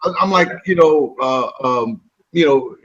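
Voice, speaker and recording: A man's voice: mid-range voice, expressive, measured pace, fairly bright tone, lo-fi sound. A man from the Midwest hosting a casual chat, loose and joking. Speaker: host.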